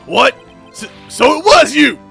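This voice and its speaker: A man's voice: very high voice, sing-song, a little slow, gravelly, sharp tone, faint music behind, voice pushed up, exaggerated voice. A man voicing a blustering, easily flustered police detective in a video game, stammering and folksy. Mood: indignant. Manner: stammering, folksy, says pal.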